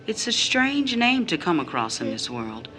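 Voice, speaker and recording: A woman's voice: lowish voice, very animated, normal speed, bright, forceful tone, soft background music. An actress playing a tired, numb, poor mother, wary and protective, with blunt questioning, in an audio drama. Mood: weary, guarded. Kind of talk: scripted audio drama acting.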